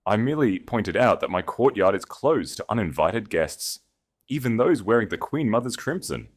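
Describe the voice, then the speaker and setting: A man voicing an icy, measured exiled duke in an audiobook, smooth and controlled. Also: on the low side, very animated, pitched above the performer's own voice. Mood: icy calm. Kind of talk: audiobook character voice.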